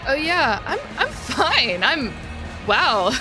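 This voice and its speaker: An actress playing a bold, coaxing young woman out partying in an audio drama, with expressive delivery. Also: high voice, slow, over music, exaggerated voice, low-quality recording. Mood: eager, dazed.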